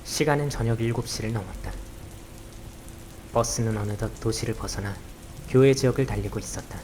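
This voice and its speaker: A man acting a dry, grumbling young man in a visual novel, curt and deadpan, with rain and crickets behind him. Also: fairly low pitch, a little fast, slight background noise, lightly acted. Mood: subdued, brooding. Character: dry, grumbling young man, reluctant occult investigation helper. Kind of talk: visual novel acting with first-person narration.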